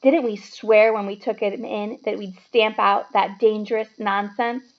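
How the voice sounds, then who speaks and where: A woman's voice: mid-range voice, fairly even intonation, measured pace, muffled recording, natural voice. A woman voicing a blustering, bad-tempered middle-aged uncle who sneers and snaps orders in a storybook reading. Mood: muttering, agitated. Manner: sneering, barking, snapping orders.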